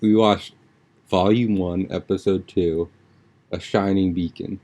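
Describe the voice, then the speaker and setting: Young guy podcast host, low voice, kinda rambly and slangy, just chatting. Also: steady tone, unhurried, warm tone. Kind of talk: casual podcast chat, episode recap.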